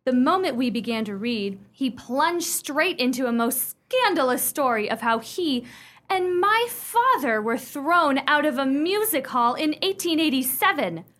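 A woman's voice: high-pitched, expressive, a little slow, fairly bright tone. A woman playing a prim, imperious young upper-class fiancée in a radio play, speaking sternly with precise, formal diction. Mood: scandalized.

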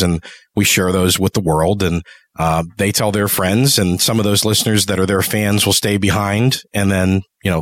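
A man's voice: low-pitched, a bit bright, no room sound. A man hosting a podcast interview in a conversational, supportive and agreeable way. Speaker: podcast host and producer.

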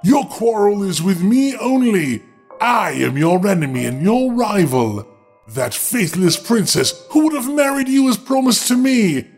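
Man as a high-voiced boastful villain dwarf. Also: big pitch swings, a little slow, darkish tone, faint music behind, full character voice. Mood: defiant, boastful. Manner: declamatory, challenging. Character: small ugly male dwarf villain, boastful and jealous.

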